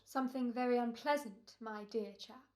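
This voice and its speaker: A woman playing a quietly menacing gentleman murderer in a 1940s-style radio thriller, formal and deliberate in short phrases. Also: fairly high pitch, close to an everyday voice. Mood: cold menace.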